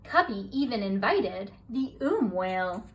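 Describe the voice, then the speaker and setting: A woman reading a children's bedtime story aloud in a soft, rhythmic rhyming delivery. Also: mid-range voice, sing-song, measured pace. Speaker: storybook reader. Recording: distant mic, faint hiss.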